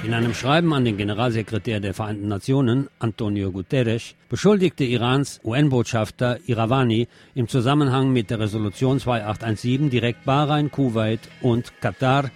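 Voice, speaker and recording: A man's voice: mid-range voice, fairly quick, a little mellow. Man reading scripted news commentary over music. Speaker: news commentator presenting a radio programme.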